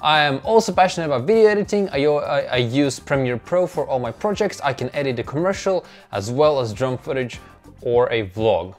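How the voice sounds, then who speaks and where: A man's voice: medium pitch, animated, a little fast, soft background music. A young man with a Russian accent, a native Russian speaker, narrating his own vlog in a casual, upbeat and self-mocking way, recorded on a lapel mic in a small bedroom. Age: young adult. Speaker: vlogger. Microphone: clip-on lapel mic.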